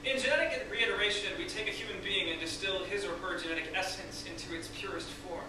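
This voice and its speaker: Man acting a high-voiced smug scientist, echoey room. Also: quick pace, natural voice. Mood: condescending, clinical. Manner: formal, lecturing, condescending. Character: smug Russian male scientist, proud, coolly clinical. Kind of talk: staged script read-through. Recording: echoey room, distant mic, noisy.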